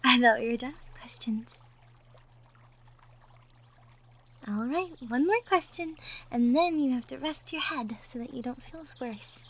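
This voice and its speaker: A woman playing a gentle, caring young girlfriend from the woods in a soft, intimate romantic ASMR roleplay, with tender murmurs. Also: sits high, normal speed. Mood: fond, teasing. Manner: soft, tender, breathy murmurs with light giggles. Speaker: audio roleplay performer. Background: birdsong and outdoor nature ambience effects.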